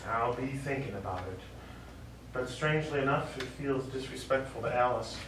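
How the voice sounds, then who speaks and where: Heard from afar in a heavily echoing, noisy room, a grieving middle-aged American veteran played by a man talks in a stage play. He is reserved and deliberate, halting as he goes, with a subdued delivery. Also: medium pitch, natural voice. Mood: wistful, hesitant. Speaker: stage actor. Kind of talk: live stage play dialogue. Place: small indoor theatre studio, recorded from audience distance. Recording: very echoey, distant, noisy stage recording.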